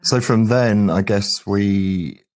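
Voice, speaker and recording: Low-voiced English man, casual and rambling. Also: takes it slowly, a little mellow. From England. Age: 40s. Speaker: band frontman, interview guest. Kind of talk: casual reminiscing chat.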